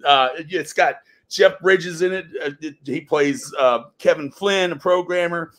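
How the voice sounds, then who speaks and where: A middle-aged man speaking casually as a podcast guest, choosing his words in halting, thoughtful phrases. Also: fairly high pitch, fairly bright tone. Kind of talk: casual conversation.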